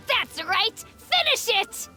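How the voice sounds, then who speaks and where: Bright, very high-pitched little boy. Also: full character voice. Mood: eager. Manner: childlike, earnest, emphatic. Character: earnest, spirited little boy, loyal and trusting. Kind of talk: video game voice acting.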